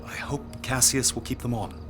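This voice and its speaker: A man's voice: mid-range voice, sing-song, moderate pace, over music, close to an everyday voice. A man playing a brooding, dutiful aristocratic heir in a period audio drama, speaking formally and earnestly. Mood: brooding, resolved.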